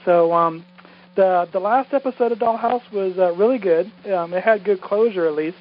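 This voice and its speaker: A man's voice: high voice, moderate pace. A man who podcasts, leaving a casual, rambling message over a muffled phone line. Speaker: podcaster calling in. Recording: muffled phone voicemail, faint noise.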